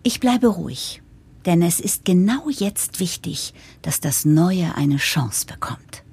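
An earnest, diplomatic office narrator in an audio drama. Her voice is slightly low and husky, with very varied intonation. She speaks formally and with composure. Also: expressive, slow pace, darkish tone, heavily acted. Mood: formal, persuasive. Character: earnest, composed woman narrator championing change, jargon-heavy.

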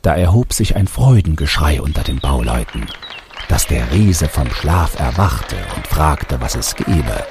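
Very deep, gravelly male storyteller. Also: very animated, unhurried, over music. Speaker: author reading a fairy tale. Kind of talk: expressive storybook narration.

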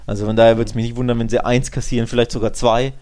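A man's voice: medium pitch, little pitch movement, very fast, darkish tone. A man chatting casually as co-host of a football podcast, often tacking short questions onto his sentences. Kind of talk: casual sports podcast chat.

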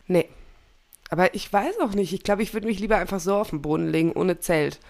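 A woman's voice: on the low side, expressive, fairly quick, creaky, darkish tone. A woman in her twenties hosting a casual podcast chat, speaking with a cold and laughing often as she corrects herself. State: has a cold. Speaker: podcast host.

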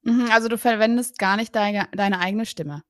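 A woman's voice: mid-range voice, moderate pace, a bit bright, dry recording, clean studio sound. A woman hosting a podcast interview remotely, upbeat and chatty with many fillers. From Berlin, Germany. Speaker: podcast host. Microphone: remote recording, separate room.

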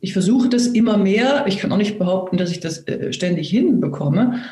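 A woman in her fifties from eastern Germany, an actress hosting a reflective conversation, explaining earnestly and slowly with many restarts. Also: medium pitch, animated, dark tone. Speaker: actress and talk host. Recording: roomy echo.

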